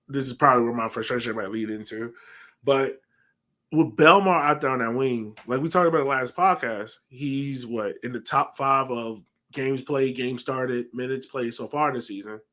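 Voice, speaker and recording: A man's voice: mid-range voice, a bit bright. A man in his thirties talking casually through sports analysis as a podcast co-host on a video call from a room at home.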